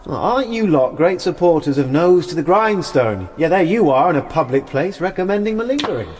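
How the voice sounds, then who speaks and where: An actor playing a wry, weary war veteran, reflective and polite, in a radio drama. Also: male voice, a little high, very animated, brisk, a little mellow. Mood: wry, brisk.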